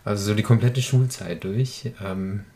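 A man's voice: lowish voice, fairly even intonation, a little slow, mellow. Reflective man in his thirties. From rural Germany. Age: 30s. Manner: reflective, hesitant, many fillers. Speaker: podcast guest. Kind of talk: casual conversation.

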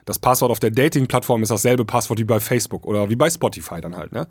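A man's voice: medium pitch, expressive, quick pace, breathy edge, no echo, clean studio sound. A man with a German accent co-hosting a casual podcast discussion, explaining things and often seeking agreement with little tag questions. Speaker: podcast co-host.

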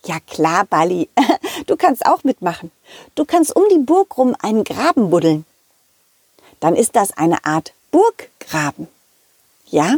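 A woman's voice: mid-range voice, very animated, slow pace, creaky, long pauses, darkish tone, husky, no room sound, exaggerated voice. A woman voicing a cheerful, loyal male dog who tells his own adventure to children in a chatty, giggly way. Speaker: storyteller. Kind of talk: children's audio play narration.

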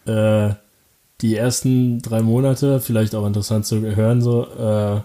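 A young man from Germany talks through his story in a relaxed interview, stopping and restarting often and breaking into short laughs. His voice sits low and dark, with very little rise and fall. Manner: halting, many fillers and restarts, laughs often. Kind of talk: casual interview answers.

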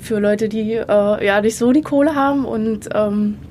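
A woman in her thirties from Potsdam speaks in a relaxed, chatty way, often pausing on fillers. Her voice is medium in pitch, and she is being interviewed outdoors. Faint noise is heard behind her. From Potsdam, Germany. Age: 30s. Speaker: interviewee, local resident. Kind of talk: casual street interview. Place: outdoors in a residential area.

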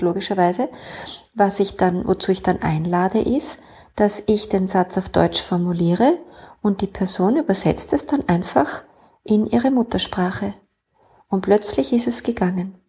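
Husky, gravelly-voiced woman telling stories. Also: mid-range voice, a little mellow. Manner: rambling, with many fillers and self-corrections. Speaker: family constellation facilitator. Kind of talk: casual storytelling monologue.

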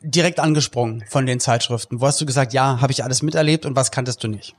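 A man hosting a podcast, chatting casually in colloquial language with animated exclamations. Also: mid-range voice, quick pace. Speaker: podcast host. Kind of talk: casual chat.

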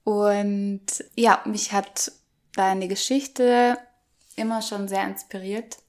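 A woman hosting a podcast interview in a casual, friendly chat style, quick to laugh. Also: mid-range voice, level tone, darkish tone. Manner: laughs readily. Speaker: podcast host interviewing a guest.

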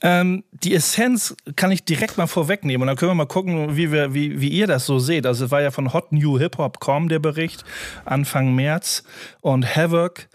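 A man's voice: a little high, normal speed, no echo. A man, a DJ and producer, co-hosting a casual podcast and rambling through his points with many restarts. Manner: rambling, restarts sentences often, laughs easily. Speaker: DJ and music producer, co-host.

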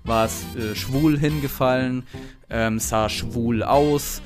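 A man from Bavaria, a guest in a podcast interview, talking casually in a relaxed, chatty way with many fillers. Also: mid-range voice, slow, music in the background.

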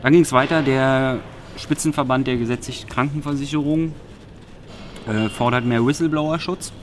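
A man's voice: mid-range voice, fairly even intonation, moderate pace, a little hiss or hum. A man from Berlin co-hosting a podcast, recapping press conferences in a wry, sarcastic, rambling way. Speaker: podcast co-host.

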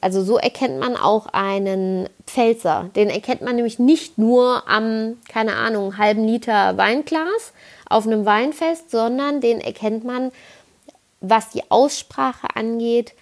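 A woman in her twenties from the Palatinate with a slight Palatinate German accent, hosting a podcast in a casual, self-ironic monologue that rambles with lots of restarts. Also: on the high side. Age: 20s. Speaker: podcast host. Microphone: podcast microphone.

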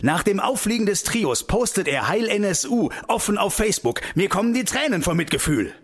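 Indignant, sarcastic male investigator, animated delivery. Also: high-pitched, fairly quick, breathy edge, full character voice. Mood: sarcastic, accusing. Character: combative, sarcastic male parliamentary investigator grilling officials. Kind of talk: radio play acting.

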